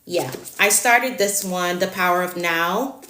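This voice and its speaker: Jamaican woman, late thirties, live-stream coach; bright, chatty, direct delivery, roomy sound. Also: lowish voice, measured pace, a little hiss or hum. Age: 30s. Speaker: productivity coach. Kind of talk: casual live-stream talk.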